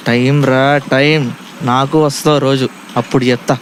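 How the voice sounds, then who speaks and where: An actor playing a lovestruck, excitable young college student in a comedy audio drama, bantering with whiny exasperation. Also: male voice, mid-range voice, normal speed, a little hiss or hum, lightly acted. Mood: annoyed. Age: young adult.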